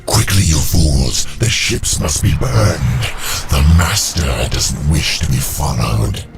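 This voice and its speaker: A menacing naga warrior with a gravelly, extremely low voice. The monstrous game villain is scornful and threatening, heard over loud music. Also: male voice, big pitch swings, slow pace, heavily acted. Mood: urgent command. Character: contemptuous male sea-serpent naga warrior villain. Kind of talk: dramatic video game cinematic acting.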